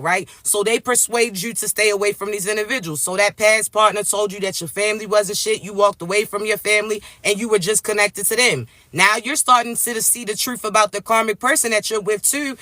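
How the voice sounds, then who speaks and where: Raspy, congested man giving a spiritual reading. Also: high voice, pushed and bright, no room sound. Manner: emphatic, repeats "all right?" and "right?" tags. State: congested from allergies. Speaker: spiritual reader. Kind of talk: live spiritual reading, preachy direct address.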